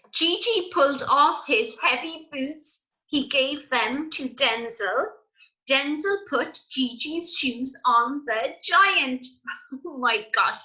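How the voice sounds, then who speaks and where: A woman's voice: fairly high pitch, sharp tone, highs cut off. A woman teacher reading a children's story aloud expressively and chatting to young pupils with questions and asides.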